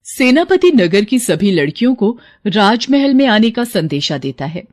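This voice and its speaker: A woman's voice: medium pitch, very animated, unhurried, a little mellow. Woman narrating a storybook tale.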